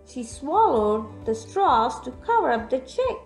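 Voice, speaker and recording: High-voiced young woman reading to children. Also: unhurried. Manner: expressive, teacherly, addressing children directly. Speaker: children's storyteller. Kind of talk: read-aloud for young children, with questions to the listeners. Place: room at home, indoors. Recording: echoey room, music in the background.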